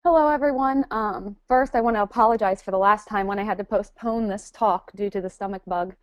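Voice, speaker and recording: A woman's voice: medium pitch, fairly quick, dry recording. Woman with a cold giving a technical webinar. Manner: explanatory, many "um" fillers. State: has a cold. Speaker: webcast presenter, forensics instructor.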